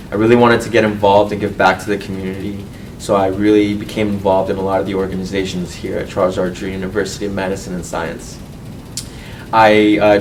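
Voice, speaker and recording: A man in his twenties from Colombia who grew up in California, giving an earnest, halting personal testimonial as a student. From Colombia, raised in California. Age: 20s. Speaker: medical student and university board representative. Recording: distant mic, faint rumbling noise.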